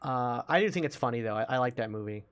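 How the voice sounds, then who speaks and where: Male podcast host, medium pitch, conversational and keen to explain, unscripted chat. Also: expressive, quick pace, clean tone. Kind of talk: casual movie discussion.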